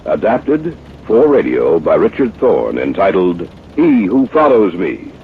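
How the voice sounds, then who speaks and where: A male announcer introduces horror radio in a slow, low voice with sweeping intonation. His dramatic 1950s delivery sounds thin and tinny, as if through an old radio. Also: huge pitch swings, noisy background.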